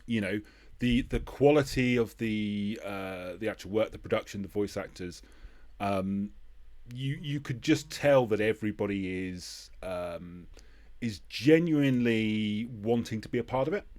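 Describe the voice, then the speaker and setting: A man hosting a casual interview podcast, speaking in halting phrases with repeated starts as he works out his point. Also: fairly low pitch, darkish tone. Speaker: podcast host.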